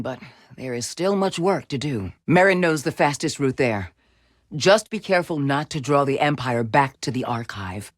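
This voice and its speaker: A woman playing a wise, resolute Jedi mentor and resistance leader in a video game, speaking in a measured, solemn, commanding way. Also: sits low, no echo, clean studio sound. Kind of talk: dramatic video game character acting.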